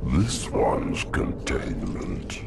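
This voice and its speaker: A gigantic, all-knowing creature with a cavernous, extremely deep rumble. It speaks very slowly and solemnly, as in verse, menacing in a game cutscene over loud music. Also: male voice, wildly swooping pitch, gravelly, full character voice. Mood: grave warning. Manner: slow, portentous, poetic speech. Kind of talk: video game cutscene acting.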